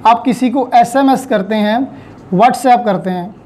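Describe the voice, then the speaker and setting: A man with a Pakistani accent speaks in a mellow, relaxed tone as a law lecturer. He explains step by step with examples, asking questions and then answering them. English terms and phrases are mixed into his delivery. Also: extremely high pitch, slow, slight background noise.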